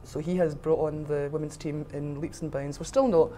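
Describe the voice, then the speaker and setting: A woman's voice: sits low, steady tone, normal speed, mellow, a little hiss or hum. A middle-aged woman from the west coast of Scotland with a west of Scotland accent, speaking candidly and with firm opinions in an informal interview. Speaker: interviewee, rugby official.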